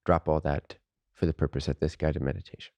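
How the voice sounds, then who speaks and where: A man leading a guided meditation as a teacher, unhurried and informal, speaking in short phrases with pauses between them. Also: extremely low pitch, fairly even intonation, a little mellow, no echo, muffled recording.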